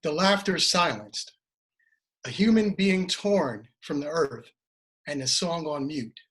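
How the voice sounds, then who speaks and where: A man's voice: a little high, expressive, slow, a bit bright, poor audio. A man reading a memorial piece as an actor, in a solemn, rhetorical, dramatic style.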